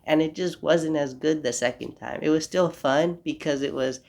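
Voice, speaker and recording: A man's voice: on the high side, moderate pace, vocal fry, a little mellow. A young man hosting a casual solo podcast, stuffy from a cold, talking in a rambling, reflective way. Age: young adult. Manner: rambling, reflective, apologetic asides. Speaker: podcast host. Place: home room.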